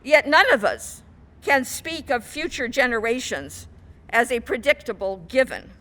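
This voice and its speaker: A woman delivers a sermon in a high, bright voice. Her pace is slow and deliberate, with measured phrases and clear emphasis on key words. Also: big pitch swings. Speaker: guest minister preaching.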